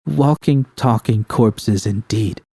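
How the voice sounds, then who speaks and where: A man's voice: on the low side, slow pace, warm tone, breathy edge, no room sound, clean studio sound, full character voice. A man voicing a hurt, bitter young woman in a story, a betrayed lover who accuses with venom and swearing.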